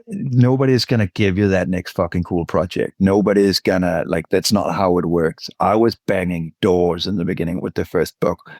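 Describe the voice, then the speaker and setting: A man, a guest author on a podcast, talking casually in halting stops and restarts. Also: deep voice, gravelly, a little mellow, dry recording. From Denmark. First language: Danish. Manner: halting, repeats words, swears casually.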